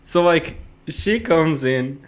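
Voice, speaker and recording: A man's voice: on the high side, expressive, takes it slowly. A young man chatting casually on a podcast, telling joking, self-mocking stories.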